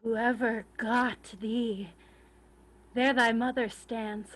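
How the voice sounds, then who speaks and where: Woman playing a high-pitched, seething, vengeful young nobleman. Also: steady tone, drawn-out words, rough recording. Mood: cold contempt. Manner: seething, emphatic declamation. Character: Richard, venomous vengeful young crookbacked nobleman. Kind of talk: Shakespearean staged reading. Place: remote reading over a video call.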